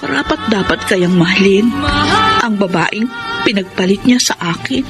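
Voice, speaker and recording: Jilted Filipina letter-writer, played by a woman, mid-pitched, sorrowful radio narration over loud music. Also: normal speed, natural voice. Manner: sorrowful, reflective.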